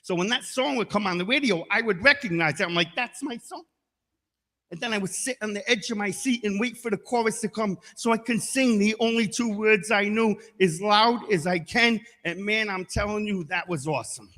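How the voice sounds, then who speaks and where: A middle-aged man doing a stand-up comedy set with punchy delivery and deadpan asides, now and then breaking into loud shouted singing. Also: high voice, moderate pace, in a large hall. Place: comedy stage.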